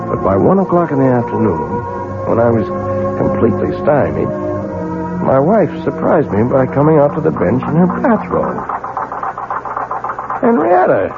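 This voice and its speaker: A man's voice: mid-range voice, huge pitch swings, normal speed, vocal fry, long pauses. An actor playing a puzzled, defensive clockmaker husband who narrates his own strange tale in 1970s radio drama style. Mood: baffled, narrating. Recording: tinny vintage radio broadcast with music.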